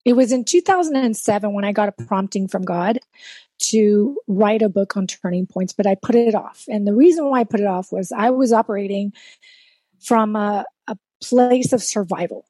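A woman's voice: medium pitch, moderate pace, warm tone, no echo. A woman from South Texas whose first language is Spanish, telling her story openly as an interview guest. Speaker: guest, coach and speaker. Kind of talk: casual interview chat.

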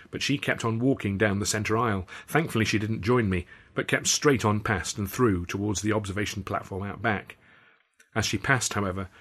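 A man's voice: fairly low pitch, fairly bright tone, slightly rough. A man reading his own novel as narrator, telling the story in a wry, literate way. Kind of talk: audiobook narration with acted dialogue.

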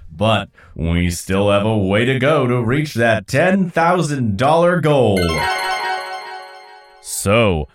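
A man's voice: mid-range voice, lightly acted. A man playing a swooping, showy telethon host. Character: showy male telethon host on a radio broadcast. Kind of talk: theatrical broadcast presenting.

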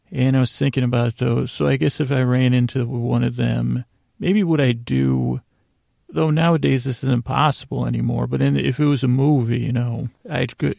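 A man hosting a solo bedtime podcast in a meandering, halting monologue, often interrupting himself and drifting into asides. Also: medium pitch, warm tone, dry recording, studio quality. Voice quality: gravelly, creaky. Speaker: podcast host.

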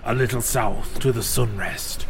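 A man reciting poetry in a measured, declamatory manner, as a dramatic reading. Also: medium pitch, vocal fry, noisy background. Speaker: poetry reader.

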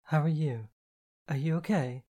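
A narrator voicing a sleepy, kind woman who reassures and gently takes command in an audiobook story. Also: mid-range voice, measured pace, lightly acted. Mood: kind, drowsy. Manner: drowsy, reassuring, evenly commanding.

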